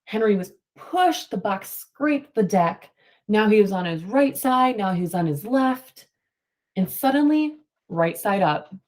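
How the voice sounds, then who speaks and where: Woman teacher doing a read aloud for kids, takes it slow, asks questions and confirms answers. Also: medium pitch, animated, darkish tone. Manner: instructional, prompting and affirming answers. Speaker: teacher reading aloud to children. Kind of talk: picture-book read-aloud with questions to a class.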